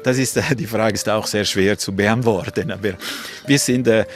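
Casting: middle-aged man, deep voice, Swiss-Italian accent, non-native speaker answering interview questions informally. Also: faint music behind. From Ticino, Italian-speaking Switzerland. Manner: halting, non-native German grammar. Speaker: local hospitality host being interviewed.

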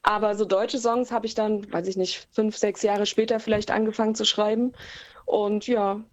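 A woman who writes and sings her own songs, a native German speaker, answering interview questions in a relaxed, thoughtful way with frequent fillers, heard over a remote call line. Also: medium pitch, moderate pace. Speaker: singer-songwriter guest. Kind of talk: casual interview talk.

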